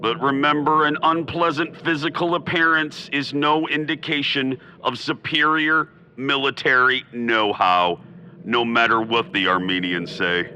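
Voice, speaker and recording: A man's voice: medium pitch, steady tone, unhurried, pushed and bright, dull audio, slight background noise. A man playing a blustering military commander in a comedic radio drama, pompous, declamatory and a little folksy. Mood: confident. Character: blustering military field commander and bomber lieutenant.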